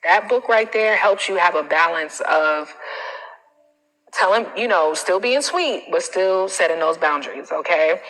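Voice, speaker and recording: An American woman giving casual livestream coaching in a blunt, animated, colloquial way. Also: on the low side, unhurried. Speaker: self-confidence coach. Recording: reverberant, echoing room sound.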